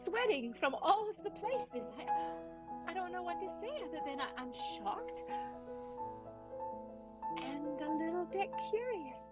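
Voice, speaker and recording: A woman's voice: extremely high pitch, sing-song, unhurried, pauses often, dull audio, loud music behind the voice, exaggerated voice. A woman playing a chatty, scheming vaudeville performer in an improvised radio play, rambling and tripping over her words. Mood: shocked.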